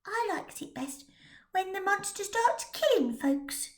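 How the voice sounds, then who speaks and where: A woman voicing a ragged little match girl in a storybook reading, soft and matter-of-fact. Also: extremely high pitch, very animated, measured pace, pitched above the performer's own voice, heavily acted. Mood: grim.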